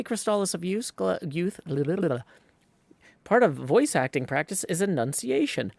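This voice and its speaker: A man's voice: fairly high pitch, vocal fry, darkish tone, dry recording. A man hosting a livestream with casual, rambling game commentary, addressing viewers and reading text aloud. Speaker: livestream host and gamer. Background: video game sound.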